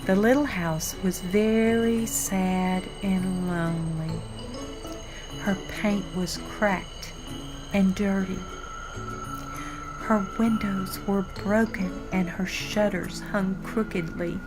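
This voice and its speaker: An older woman narrates a picture book for young children at a very unhurried pace. Her pitch sits in a middle range, and she phrases each sentence deliberately and expressively. Music plays clearly behind her. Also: steady tone, low-quality recording. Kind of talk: read-aloud storytime narration. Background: background music.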